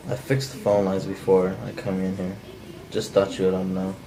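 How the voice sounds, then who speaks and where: A man's voice: sits low, completely flat delivery, moderate pace, a crowd in the background, close to an everyday voice, low-quality recording. A non-actor man cold-reading the part of an edgy, blunt, folksy killer in a script table read. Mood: brusque. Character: edgy, cold-blooded man confessing to killing. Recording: faint background noise.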